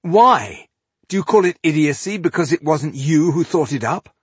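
A man playing a proud, defiant fantasy warrior in an audiobook, loud, spirited and emphatic. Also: fairly high pitch, lively intonation, moderate pace, slightly rough, dry recording, pitched above the performer's own voice. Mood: indignant.

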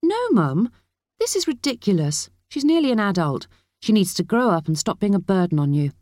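A woman voicing an angry, harsh aunt with a sharp, scolding manner in an audiobook story. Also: a little high, lively intonation, a little slow, no room sound, clean studio sound, voice pushed up. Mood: exasperated. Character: angry, harsh young aunt losing her temper.

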